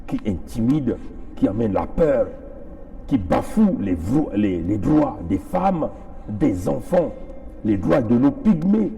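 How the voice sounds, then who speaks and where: A man's voice: medium pitch, vocal fry, dark tone, rough recording. A man with a Congolese French accent giving an impassioned political address as an activist, emphatic and repeating phrases for effect. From Congo-Brazzaville.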